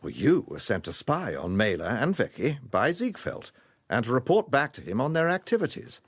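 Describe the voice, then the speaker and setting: Urbane British detective, husky and animated. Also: male voice, mid-range voice, moderate pace, no room sound, clean studio sound. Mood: cool, confrontational. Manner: courteous, probing, dryly amused. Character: urbane, incisive gentleman police detective, coolly in control. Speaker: lead actor as detective. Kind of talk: radio drama acting.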